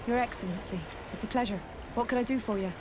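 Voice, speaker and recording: An actress voicing a reserved, dutiful female sailor in a video game, measured and guarded. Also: on the high side, background noise, close to an everyday voice.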